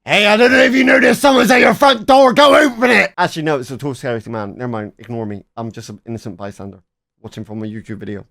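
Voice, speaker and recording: A man's voice: fairly high pitch, quick pace, creaky, a bit bright. A man doing casual live reaction commentary, exclamatory, mocking and jokey, often repeating his words for effect. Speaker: streamer reacting to videos.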